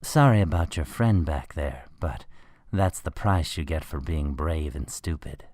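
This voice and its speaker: An actor playing a smug, mocking criminal mastermind in a radio drama. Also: male voice, a bass voice, a little slow, a little mellow, dry recording, studio quality. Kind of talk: radio drama villain acting.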